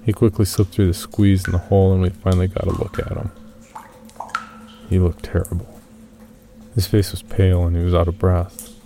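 A man with a gravelly voice reading a first-person horror story in a subdued, measured way. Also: sits low, little pitch movement, dark tone, a little hiss or hum.

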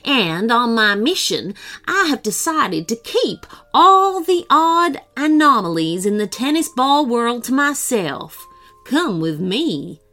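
A woman's voice: on the high side, sing-song, takes it slowly, pushed and bright, faint music behind, full character voice. A woman voicing a fussy, pompous male collector in a storybook reading, with a theatrical manner.

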